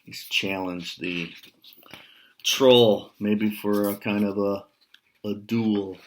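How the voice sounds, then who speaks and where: An American man in his fifties reading a children's picture book aloud, with measured, casual explanatory asides. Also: fairly low pitch, steady tone, a little hiss or hum. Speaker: storyteller, writer. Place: small room at home.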